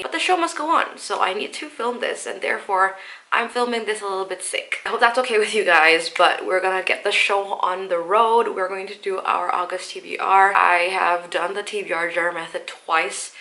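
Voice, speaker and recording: A woman's voice: mid-range voice, normal speed, bright, forceful tone. Stuffy-nosed young woman book vlogger. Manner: chatty and apologetic, explains each pick step by step. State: nasal and stuffed up from the flu. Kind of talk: casual vlog talk to camera.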